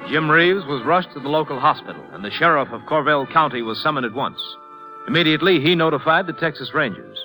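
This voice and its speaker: A man's voice: a little high, lively intonation, quick pace, low-quality recording. A man giving terse, formal, dramatic narration in the style of a 1950s radio drama. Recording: vintage radio broadcast with music.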